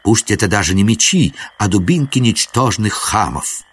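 A man's voice: lowish voice, lively intonation, moderate pace, breathy edge. A man voicing a gallant, earnest young foreign prince with ornate, formal knightly speech in an audiobook tale. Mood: grave, resolute.

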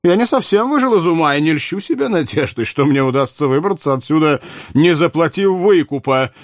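A man voicing a cunning, flattering French king in a historical audiobook, wheedling, exclamatory and ironic. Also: a little high, a little fast, no echo, clean studio sound, voice pushed up, close to an everyday voice. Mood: wry, needling. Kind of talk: dramatized audiobook reading of a historical novel.

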